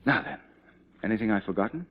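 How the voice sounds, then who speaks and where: An actor playing a confident cavalry commander and devoted husband, in mid-century dramatic style. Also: male voice, lowish voice, expressive, fast talker. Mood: warm, jovial. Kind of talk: mid-20th-century American dramatic acting. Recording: dull old radio-era drama recording.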